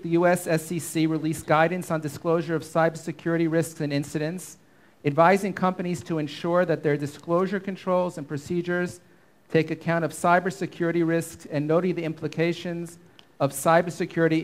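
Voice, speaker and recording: A man moderating a conference panel, giving measured, formal prepared remarks on a stage with a handheld microphone. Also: a little high. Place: conference stage before an audience.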